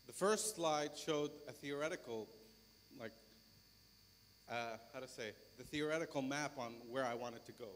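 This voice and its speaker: A man's voice: mid-range voice, normal speed. A man in his thirties with a Lebanese accent gives an informal presentation as a conference speaker in a large lecture auditorium. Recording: reverberant lecture hall, background noise.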